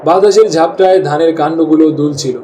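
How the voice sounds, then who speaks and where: Male narrator for a cartoon tale, slightly high voice, scripted storytelling, clear rain noise behind. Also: quick pace, warm tone.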